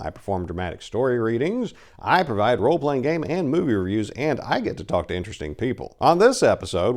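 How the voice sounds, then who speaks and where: Animated, theatrical male channel host. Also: fairly low pitch. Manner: theatrical, courtly, emphatic. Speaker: host and dramatic story reader. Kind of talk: scripted channel introduction, theatrical narration.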